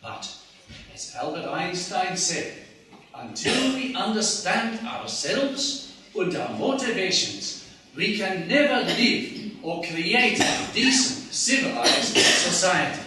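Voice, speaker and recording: A man's voice: high-pitched, big pitch swings, slow, pushed and bright, exaggerated voice. A man playing an earnest German professor who lectures on human nature, with a German accent. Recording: echoey room, distant mic, faint hiss.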